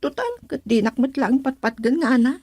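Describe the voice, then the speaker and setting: A woman's voice: high voice, brisk, a little mellow, husky, no room sound, clean studio sound. A woman playing a headstrong, insistent young bride-to-be who defies her father in an Ilocano radio drama.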